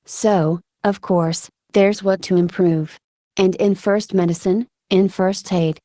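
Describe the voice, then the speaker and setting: A woman with a lowish, very mellow and relaxed voice answers interview questions. She speaks reflectively and haltingly, dropping in small filler words as she thinks. The sound is very dry, with no room around it. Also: no echo. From Ukraine. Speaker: military combat medic being interviewed.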